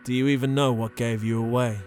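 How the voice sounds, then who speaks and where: An actor playing a zealous, smug holy inquisitor in an audio drama, speaking in a pompous, deliberate, formal manner. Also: male voice, medium pitch, little pitch movement, a little mellow, soft background music, natural voice. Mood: smug, menacing. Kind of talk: dramatic audio roleplay acting.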